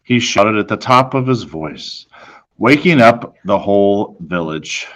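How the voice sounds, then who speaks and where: A man with a fairly low voice reads a children's novel aloud. His delivery is expressive and dramatic, with deliberate phrasing suited to storytelling. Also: rough recording. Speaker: story reader, teacher.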